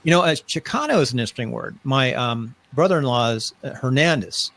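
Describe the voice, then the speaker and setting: A man's voice: mid-range voice, expressive, brisk, fairly bright tone. A middle-aged man hosting a casual live-stream interview, chatty and rambling, often restarting his sentences. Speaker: host.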